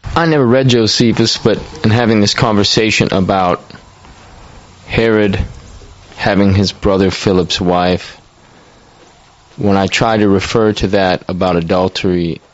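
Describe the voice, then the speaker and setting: Deep-voiced man speaking hesitantly at a church meeting, muffled sound. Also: fairly even intonation, a little slow. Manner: uncertain, recounting hearsay. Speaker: church member sharing. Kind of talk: unscripted church discussion. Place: room with a group. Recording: dull lo-fi sound.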